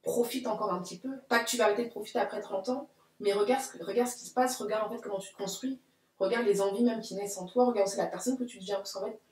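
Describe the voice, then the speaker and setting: A woman's voice: medium pitch, fairly even intonation, rapid-fire, darkish tone. A woman in her late twenties, a guest in a casual discussion, who talks reflectively in long runs. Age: late 20s. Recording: roomy echo.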